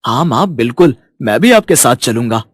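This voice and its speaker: A man voicing a kind, soft-hearted young man in a storybook tale, earnest and respectful. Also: medium pitch, big pitch swings, moderate pace, slightly rough, no echo, studio quality. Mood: grateful, tender. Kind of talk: storybook character voice.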